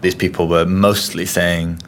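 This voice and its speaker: A young man who sings in an opera studio, speaking in an interview in a hesitant, halting way. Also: low voice, flat delivery. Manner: hesitant, halting, many fillers. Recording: faint background noise.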